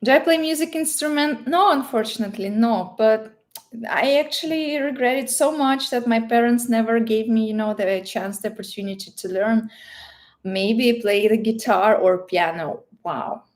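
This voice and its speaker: A woman's voice: a little high, normal speed. A woman with a Russian accent, a native Russian speaker, chatting casually and playfully as she answers livestream questions, often searching for words. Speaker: livestream host.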